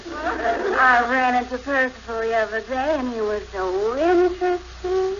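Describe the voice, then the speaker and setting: A muffled old radio recording with faint noise. a young, cultured society girl, high-pitched, genteel and gushing in a sitcom. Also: animated, unhurried. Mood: admiring. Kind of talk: 1950s radio sitcom acting.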